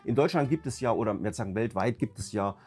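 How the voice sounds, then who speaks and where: A man with a cold, hoarse and raspy, ranting emphatically as a YouTube host talking casually to his viewers. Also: fairly low pitch, expressive, fast, darkish tone, soft background music. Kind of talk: casual vlog talk to viewers.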